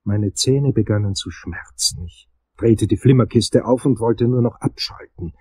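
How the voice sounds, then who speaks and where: A world-weary investigator tells his crime story in a low, dark, husky voice. The delivery is dry, brooding and wry, as in a radio thriller, recorded close and dry. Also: a little slow, no room sound. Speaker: narrator and lead actor. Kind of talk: first-person crime audio drama narration.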